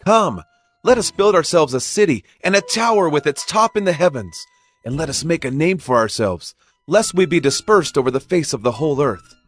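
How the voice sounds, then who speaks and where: A man's voice: fairly high pitch, animated, moderate pace, soft background music. A man playing a proud, eager ancient city builder in a dramatized audio scripture, with an urgent rallying delivery.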